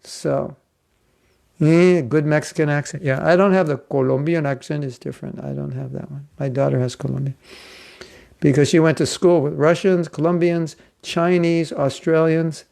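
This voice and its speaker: A man's voice: on the high side, fairly even intonation, moderate pace, vocal fry, darkish tone. A man giving a casual, joking class as a spiritual teacher, chatty and rambling. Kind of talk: casual online class talk.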